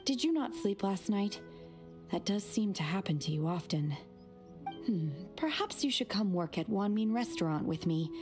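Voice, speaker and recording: A woman playing a cool, blunt young woman with a formal, deadpan manner in an anime-style video game. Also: medium pitch, normal speed, music in the background, lightly acted.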